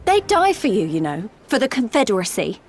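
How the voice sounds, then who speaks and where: A woman's voice: mid-range voice, huge pitch swings, fairly quick, darkish tone. An actress playing an idealistic young female fighter in a video game, plain-spoken and impassioned. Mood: indignant, pleading. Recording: background music.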